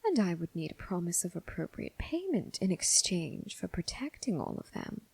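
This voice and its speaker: A woman playing a commanding, teasing, coolly amused mob boss in an ASMR roleplay, husky and breathy, speaking with deliberate, condescending pauses. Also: very animated, darkish tone, dry recording, exaggerated voice. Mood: cool, menacing. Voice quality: husky, breathy, low, creaky. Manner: slow, deliberate, purring condescension with drawn-out pauses. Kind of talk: ASMR roleplay, scripted character acting.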